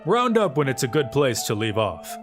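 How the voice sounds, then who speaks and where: A man's voice: medium pitch, sing-song, moderate pace, over music, lightly acted. A man voicing a smarmy, ingratiating middle-aged male vice principal in a manga dub. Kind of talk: amateur manga dub acting.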